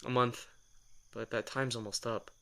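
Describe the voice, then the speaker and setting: A brooding young vampire, keeps his answers short and dry. The voice is bright and forward, set at an ordinary male pitch, and he never raises it much. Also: little pitch movement, moderate pace, creaky. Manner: low-key, dry, curt replies. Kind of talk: ASMR audio drama acting.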